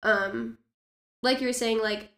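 A young woman chatting casually as a host, with informal, halting phrasing peppered with "like". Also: fairly high pitch, fairly even intonation, fairly bright tone. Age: young adult. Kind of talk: casual chat. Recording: echoey room.

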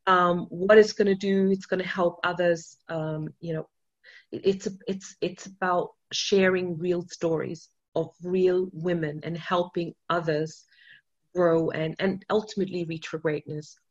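A woman's voice: on the low side, steady tone, measured pace, dull audio. A woman from Austria, not a native English speaker, telling an interviewer about her writing with eager, restart-filled talk. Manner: enthusiastic storytelling with many restarts and fillers. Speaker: author, interview guest.

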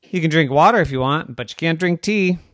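Storyteller doing a talking kelp, chatty know-it-all, fast and teasing, very lively pitch, dry close sound. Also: no echo, lightly acted. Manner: fast, bouncy, teasing and repetitive. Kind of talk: improvised bedtime story voice.

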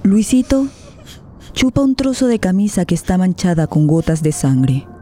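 A woman's voice: on the low side, sing-song, normal speed, warm tone. A woman reading dramatic scripted narration for a radio drama. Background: music.

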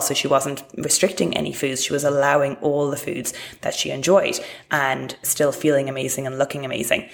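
Low, gravelly woman hosting a podcast. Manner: chatty, encouraging, rises in pitch when addressing guest. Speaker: podcast host and fitness coach. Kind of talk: podcast interview.

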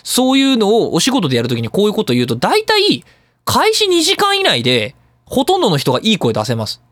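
A man hosts a casual radio talk in a very animated, swooping voice. He talks quickly and emphatically, like someone explaining his craft, and the sound is dry and close. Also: sits high, no room sound. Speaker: radio show host and sound director.

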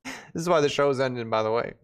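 Clear-voiced man in his twenties, casual banter. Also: medium pitch, quick pace. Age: 20s. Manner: teasing, informal. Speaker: show host.

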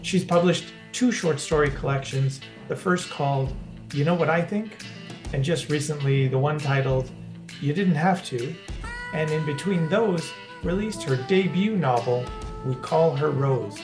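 A man's voice: fairly high pitch, measured pace, music in the background. Chatty male podcast host. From Canada. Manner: hesitant, many fillers. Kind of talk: casual interview chat.

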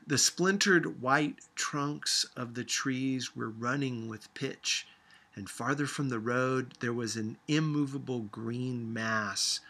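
A man reading a literary story aloud as an audiobook narrator, expressive and dramatic in his delivery. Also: mid-range voice. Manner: dramatic, acts out dialogue. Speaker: audiobook reader.

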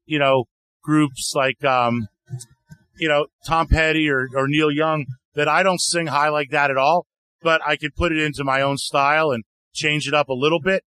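Bright, chatty male singer-songwriter. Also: clear, resonant tone, no room sound, poor audio. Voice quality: deeper voice. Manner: relaxed, chatty, frequent "you know" fillers. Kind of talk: casual interview answers. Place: outdoor music festival.

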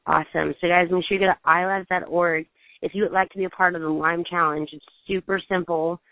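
A bubbly woman hosts a casual podcast chat, laughing easily. Her slightly low voice comes over a thin, phone-like line. The sound is dry. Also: steady tone, fast, no echo. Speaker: podcast host.

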